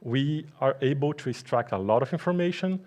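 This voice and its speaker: Brazilian man giving a halting tech talk. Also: mid-range voice, animated, darkish tone. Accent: Brazilian Portuguese. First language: Portuguese. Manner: halting, with frequent fillers and restarts. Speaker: conference speaker. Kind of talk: technical conference talk. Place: conference hall.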